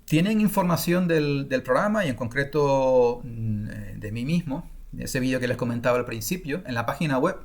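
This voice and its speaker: A middle-aged man at an ordinary middle pitch talks through his online course as if giving a live class. He thinks aloud as he goes, with frequent fillers and restarted phrases. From Spain. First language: Spanish. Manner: thoughtful and unscripted, pausing with fillers and restarts. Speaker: language-learning course creator. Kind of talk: recorded online masterclass.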